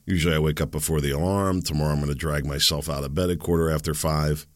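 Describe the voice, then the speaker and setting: Man, fifties, very deep voice, dry close sound, rambling sarcastic podcast host. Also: fairly even intonation, quick pace, no room sound. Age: 50s. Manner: rambling, sarcastic, self-deprecating asides. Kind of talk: casual solo podcast monologue.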